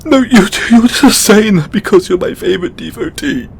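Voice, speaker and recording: An actor playing a distraught, overburdened god in an emotional audio roleplay, a man speaking tearfully and haltingly with exasperated swearing. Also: high voice, expressive, creaky, husky, full character voice. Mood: apologetic, tearful. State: crying. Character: distraught, overburdened male god venting to his devotee.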